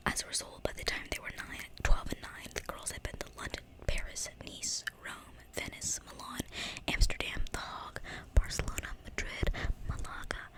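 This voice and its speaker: A woman speaks in a soft, breathy, intimate whisper, reading a book aloud in ASMR style with casual asides. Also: normal speed, low-quality recording. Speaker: ASMR video creator. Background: thunderstorm outside the window.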